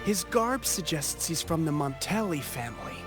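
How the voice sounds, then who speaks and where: A eager, courteous gentleman from a fantasy game. His high voice swings about with theatrical excitement and polite formality, with music playing clearly behind him. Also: moderate pace. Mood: earnest, thoughtful. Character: eager, apologetic gentleman who records events on film. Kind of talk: video game character acting.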